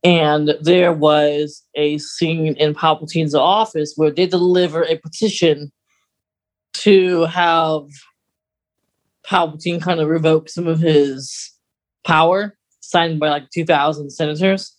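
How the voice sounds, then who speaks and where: A woman's voice: low voice, fairly even intonation, takes it slowly. A woman co-hosting a podcast, talking casually and in detail, with asides and qualifications along the way. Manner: conversational, hedging, adds asides and details. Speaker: podcast co-host. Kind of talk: casual, detailed fan discussion.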